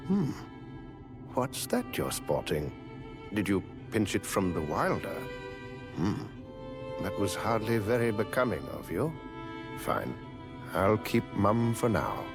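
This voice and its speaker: An actor playing a genteel, devoted manservant in a fantasy game, with formal, courtly, theatrical phrasing. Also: male voice, fairly low pitch, sing-song, slow pace, pauses often, over music, heavily acted. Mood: wry, teasing. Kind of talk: video game character dialogue.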